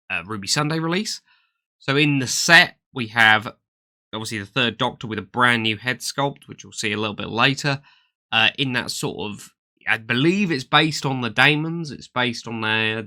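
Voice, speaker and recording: A man's voice: on the low side, bright, forceful tone. A man with a slight cold and a nasal voice, hosting a casual toy collecting news chat with eager, rambling talk and many fillers. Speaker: toy collecting channel host.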